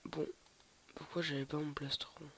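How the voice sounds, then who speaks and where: A man's voice: a little high, level tone, moderate pace. A young man with a cold, rambling through casual gameplay commentary with lots of hesitations. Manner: rambling, hesitant, many fillers and restarts. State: stuffy with a cold. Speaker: gamer. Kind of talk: casual let's play commentary. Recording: loud background noise, home mic.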